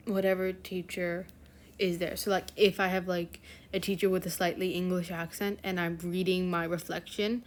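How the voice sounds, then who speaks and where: Chatty rambling teen girl. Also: on the low side. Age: teens. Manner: rambling, chatty, many fillers and self-corrections. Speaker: fanfiction channel host. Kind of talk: casual chat to viewers.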